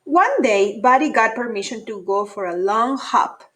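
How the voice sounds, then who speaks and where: A woman's voice: fairly high pitch, expressive, unhurried, noisy background. A woman teacher reading a children's story aloud expressively to young pupils. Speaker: elementary school teacher.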